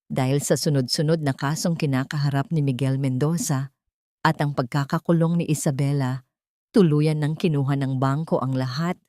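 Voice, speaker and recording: A woman's voice: low voice, warm tone, dry recording, studio quality, close to an everyday voice. A Filipino-accented woman voicing a serious, respectful lawyer with a formal, businesslike manner in an audio story.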